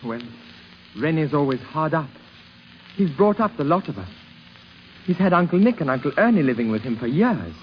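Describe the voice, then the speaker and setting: An actor playing a sensitive young grandson in a 1950s radio drama, speaking earnestly and hesitantly, full of emotion. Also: male voice, fairly high pitch, big pitch swings, normal speed, pauses often. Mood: hesitant, startled. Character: sensitive, yearning young musician grandson, shy but passionate. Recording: muffled, hissy 1950s radio recording.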